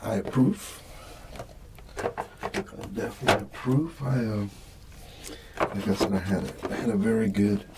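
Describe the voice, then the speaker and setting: A middle-aged man hosting an ASMR video, rambling casually and softly with a hesitant delivery. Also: fairly low pitch, moderate pace, pauses often, very noisy. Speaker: ASMR channel host.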